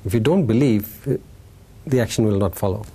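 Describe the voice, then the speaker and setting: Male spiritual teacher, husky and relaxed in tone, informal storyteller who plays with the tale and checks that listeners follow. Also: on the low side, moderate pace. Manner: informal, playful, acting out dialogue, checking in with listeners. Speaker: Buddhist teacher giving a talk. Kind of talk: animated storytelling Dharma talk to a live group.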